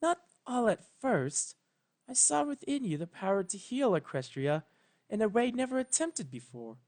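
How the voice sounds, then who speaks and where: A man voicing a regal, gentle, motherly female alicorn sun goddess in a fan fiction reading, with a melodic, measured, composed delivery. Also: high voice, very animated, pitched above the performer's own voice. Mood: careful, tender.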